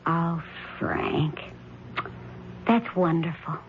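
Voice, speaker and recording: Doubting wife, dull radio sound. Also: female voice, low voice, very slow, full character voice. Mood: concerned. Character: gentle, doubting wife who trusts her intuition. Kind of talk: radio drama acting, natural domestic dialogue. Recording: dull lo-fi radio recording, faint noise.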